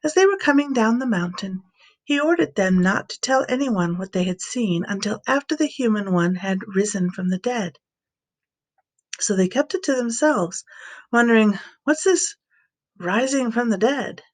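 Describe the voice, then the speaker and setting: A woman reading scripture aloud as scripted narration, with expressive storytelling delivery. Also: mid-range voice, normal speed. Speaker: scripture reader.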